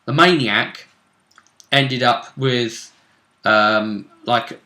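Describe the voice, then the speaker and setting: A man's voice: mid-range voice, drawn-out words, bright, forceful tone. A man chatting casually as a game master, recounting the events of a tabletop role-play session. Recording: roomy, echoey sound.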